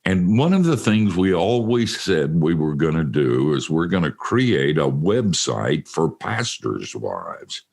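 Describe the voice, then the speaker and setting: An older man with a deep voice hosting a casual interview, joking and laughing at himself. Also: sing-song, takes it slowly, creaky, hardly any pauses, darkish tone, slightly rough. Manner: joking, self-mocking, laughs often. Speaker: radio show host.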